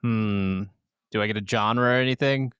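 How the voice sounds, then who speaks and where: A man's voice: fairly low pitch, moderate pace, a bit bright, clean tone, no room sound, studio quality. A man co-hosting a gaming podcast in a casual, laid-back, informal way. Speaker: podcast co-host.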